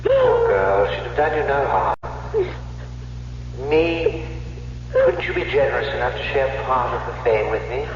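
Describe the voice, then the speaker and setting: An actor playing a soothing, quietly sinister ghostly husband in an old radio drama, with heavy echo on his voice. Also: medium pitch, wildly swooping pitch, a little slow, pauses often. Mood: reproachful. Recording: echoing, dull old radio sound, faint hum.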